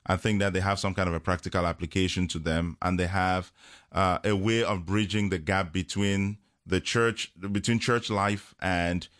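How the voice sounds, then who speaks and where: A man from Cameroon, a parish priest chatting on a radio call-in show, talking slowly with many fillers and chuckles. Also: low voice, fairly even intonation, a bit bright, dry recording, clean studio sound. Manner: slow, hesitant, many fillers, chuckles often. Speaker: priest guest. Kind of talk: casual radio chat. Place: radio studio.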